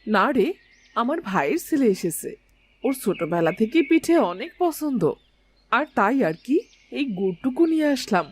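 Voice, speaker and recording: A woman voicing a doting older village aunt in an animated story, homely, coaxing and chatty. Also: a little high, normal speed, a little hiss or hum. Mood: chatty, proud. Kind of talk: animated cartoon story voice acting. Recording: music behind the voice.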